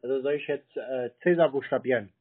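A man with a husky voice talking casually on the telephone, deadpan and acting slow-witted and confused. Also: medium pitch. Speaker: prank call recipient stringing a caller along. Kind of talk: casual phone conversation. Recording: muffled, narrow phone line.

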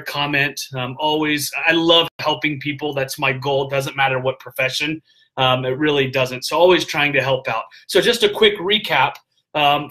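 A middle-aged man streams live from a hotel room to a webcam on the desk. His voice sits high and bright, and he talks in an animated way. He scatters "um" and "all right?" through his motivational tips. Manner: animated, gestures a lot, filler-heavy with frequent "all right?". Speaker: vlogger giving business tips. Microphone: webcam at desk distance.

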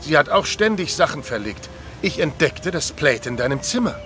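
An actor playing a doting, eager, jokey suitor in an audio drama, with a gushing, playful manner. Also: male voice, a little high, very animated, moderate pace, fairly bright tone, soft background music. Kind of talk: German audio drama acting.

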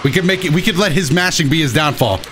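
A man giving casual, excitable commentary while he plays a video game, with playful dramatic flourishes. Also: on the high side, fast, a little hiss or hum. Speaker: gaming video host and player. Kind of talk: casual live gameplay commentary.

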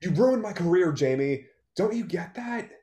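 A man's voice: high voice, expressive, normal speed, dark tone. A young man playing a bitter, heartbroken songwriter, curt and guarded, in a play read over video call. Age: young adult. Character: Liam, bitter, heartbroken male songwriter, guarded toward former love. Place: plain-walled room at home, indoors.

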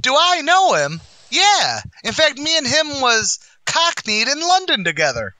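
A man plays a bluffing, boastful tavern manager in old-time radio comedy style. His voice is high and very sharp, swooping through folksy, mangled-grammar patter. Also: huge pitch swings, measured pace, dry recording, exaggerated voice. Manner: folksy, ungrammatical, bluffing wise-guy delivery. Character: blustering, boastful, uneducated tavern manager uncle.

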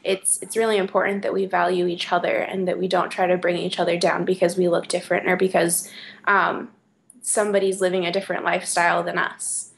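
Young woman, flat and gravelly. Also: on the low side, brisk. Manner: earnest, sincere. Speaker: interviewee. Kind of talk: personal testimony. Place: indoor room.

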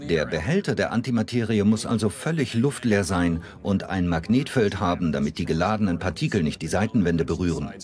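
A man's voice: low-pitched, animated, brisk, few pauses, darkish tone. A man playing a matter-of-fact scientist, explaining things in a documentary voice-over. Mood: matter-of-fact, explanatory.

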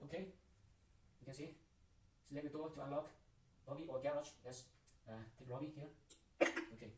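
A man giving a casual step-by-step product demonstration in short, halting phrases, in a small room. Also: fairly high pitch, fairly even intonation, heavy background noise. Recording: music behind the voice. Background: music.

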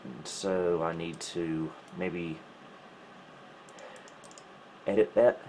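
A man with a fairly low voice hosts a casual tech video from a small room at home. He thinks aloud in a wry, self-deprecating way while troubleshooting. There is clear noise behind his voice. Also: steady tone, drawn-out words, a little mellow. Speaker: host of a retro computing video.

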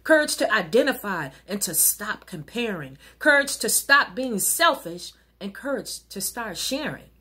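A middle-aged woman minister reciting an inspirational rhyming poem in an earnest, rhythmic, exhorting way, with a slightly husky voice. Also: mid-range voice, very animated, unhurried, few pauses, pushed and bright. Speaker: minister and poet. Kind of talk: recited rhyming spoken-word sermon poem. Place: wood-paneled living room at home.

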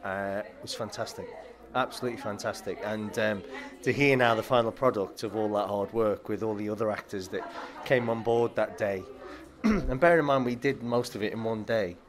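A man's voice: lowish voice, moderate pace. A man, an actor, answering interview questions eagerly, heaping on praise and laughing easily.

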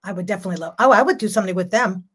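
A woman's voice: mid-range voice, very fast, husky. An older woman, a jazz singer, chatting as a guest on a video call from her home, talking freely and laughing often. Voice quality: strong voice. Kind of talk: casual video-call interview. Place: home room.

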